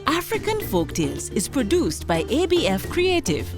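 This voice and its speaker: A woman reading the show's closing credits in a bright, upbeat way. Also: sits high, wildly swooping pitch, moderate pace. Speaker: announcer. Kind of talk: show credits read-out. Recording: music under the voice.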